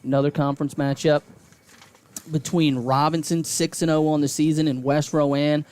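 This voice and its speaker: A man calling high school football on local radio from a stadium press box, conversational and quick to agree with his partner. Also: little pitch movement, a little mellow. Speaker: radio play-by-play announcer.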